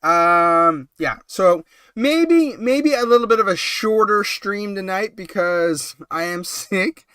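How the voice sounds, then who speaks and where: A man with a cold hosts a relaxed late-night live stream in a high, bright voice. His tone stays smooth and clear despite the cold. He rambles and chats, full of ums and short laughs, and the sound is dry and close. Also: takes it slowly, dry recording. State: has a cold.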